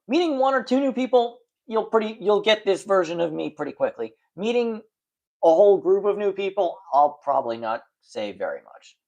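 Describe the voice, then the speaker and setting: A man's voice: fairly high pitch, vocal fry. A man livestreaming late at night, chatting casually and frankly with his viewers, with a stutter that makes him repeat words and restart sentences. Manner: rambling, frank, lots of fillers and restarts.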